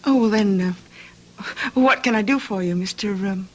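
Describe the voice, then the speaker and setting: An actress playing an earnest young schoolteacher in an old radio drama, with a hesitant, emotional delivery. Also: female voice, medium pitch, measured pace, lo-fi sound, a little hiss or hum, natural voice. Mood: polite, uneasy. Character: sheltered young schoolteacher, gangster's daughter, earnest and distraught.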